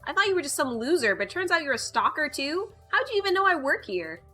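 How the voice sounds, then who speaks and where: An angry young woman bartender from a visual novel, acted out by a woman reader, bright and sharp-edged. She is combative and accusing, pushing her words out loudly over faint music. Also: sits high, big pitch swings, fast, voice pushed up, full character voice. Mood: outraged.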